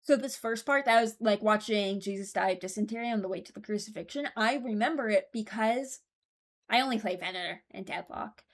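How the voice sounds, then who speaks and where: A woman's voice: mid-range voice, fairly quick. A young woman hosting a video with casual, informal commentary on game voice lines, rambling and often interrupting herself. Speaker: streamer and video host.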